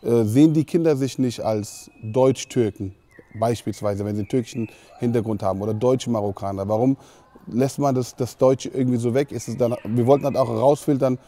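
A man's voice: medium pitch, a little fast, warm tone. A man, a project organiser, giving a thoughtful interview statement.

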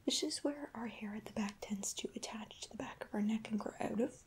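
A young woman speaks in a soft, breathy whisper, close to the mic. Her voice sits a little high, and she is gentle and reassuring, pausing softly as she plays a personal-attention hairdresser for ASMR. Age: young adult. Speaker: ASMR roleplay host as hairdresser. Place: indoor room at home.

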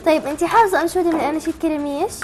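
A woman's voice: sits high, very fast, darkish tone, faint music behind. A woman hosting a chatty live TV call-in, asking simple, encouraging questions. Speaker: TV show host.

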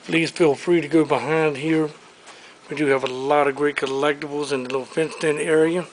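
A man's voice: on the high side, steady tone, a little hiss or hum, poor audio. Upbeat man touring an auction warehouse. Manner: listing items briskly, upbeat salesman patter. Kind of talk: walkthrough preview of auction items. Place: large warehouse showroom full of furniture.

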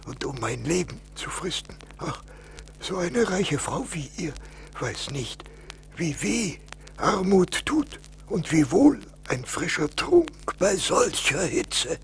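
An actor playing a frail, weary old beggar man in a 1980s radio play, with a creaky, breathy voice. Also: sits high, very animated, slow pace, exaggerated voice. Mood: weary, pleading. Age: older. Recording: noisy old vinyl record.

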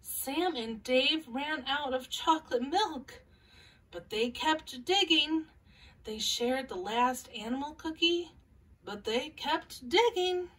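A woman in her thirties hosting a children's storytime, reading and introducing a picture book in a measured way with pauses. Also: high-pitched, expressive, fairly bright tone. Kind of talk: scripted introduction and picture-book read-aloud. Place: small furnished room at home, indoors. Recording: voice a little far from mic.

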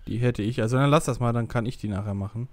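A man casually commentating a co-op survival game with a friend, joking and bursting out loudly during fights. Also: mid-range voice, fast talker, dry recording. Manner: jokey, excitable outbursts while fighting. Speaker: gameplay commentator. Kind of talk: casual co-op gameplay chat.

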